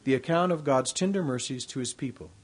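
A man delivering a formal, prepared devotional address at a university, reading from his text in a measured way. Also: medium pitch.

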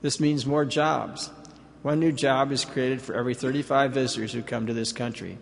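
A man's voice: mid-range voice, fairly even intonation, moderate pace, creaky. An older man giving a formal, earnest prepared speech as a company executive in a large hall. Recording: reverberant hall.

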